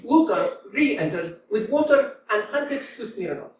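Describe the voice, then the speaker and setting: A woman reading stage directions aloud, brief and matter-of-fact. Her voice is fairly high with lively inflection. She sounds distant in a very echoey theater with faint background hiss. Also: unhurried.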